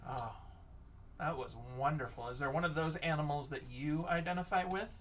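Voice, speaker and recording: A man teacher reading a picture book aloud and chatting to children, expressive, often dropping into hushed whispers. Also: fairly high pitch, moderate pace, distant mic, slight background noise.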